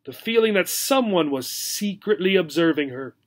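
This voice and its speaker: A man with a notably high voice reads a children's story aloud as a teacher. His telling is expressive and explanatory, pitched for young learners. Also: moderate pace. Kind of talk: children's story read-aloud with simple recap.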